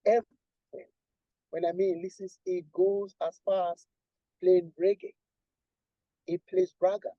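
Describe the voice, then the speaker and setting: A man from Nigeria with a husky voice, a guest musician talking at length in a casual interview and restarting his sentences often. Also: high voice, flat delivery, measured pace, pauses often, dry recording, dull audio. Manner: rambling, repeats and restarts, lists with emphasis.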